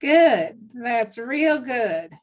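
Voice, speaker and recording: A woman's voice: a little high, sing-song, very slow, distant mic, exaggerated voice. A woman playing a menacing, rowdy male outlaw gang leader in a live western table read, heard from off the mic.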